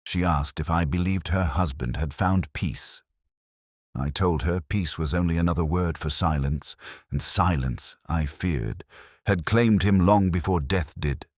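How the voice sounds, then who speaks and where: A man playing a weary, melancholy middle-aged police inspector, narrating a detective drama in a measured, literary, reflective way. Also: deep voice, darkish tone, dry recording, studio quality. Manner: measured, literary, brooding delivery. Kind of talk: first-person scripted detective drama narration.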